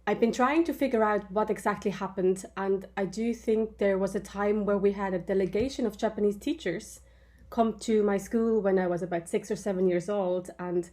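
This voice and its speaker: Guest interviewee, a woman from Switzerland, mid-pitched, relaxed and chatty, pauses with fillers. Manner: relaxed, thinks aloud with fillers. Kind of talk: casual conversational interview answers.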